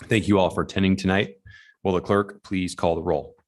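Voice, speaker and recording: Male committee chair, deep voice, formal and procedural delivery. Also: steady tone, fairly quick. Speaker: commission chair running a public hearing. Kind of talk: formal meeting procedure, partly read out.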